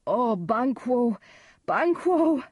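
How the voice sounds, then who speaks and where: A woman voicing a horrified lord in a storybook who raises the alarm with urgent, drawn-out cries. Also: on the high side, sing-song, darkish tone, slightly rough, no room sound, clean studio sound, voice pushed up, full character voice.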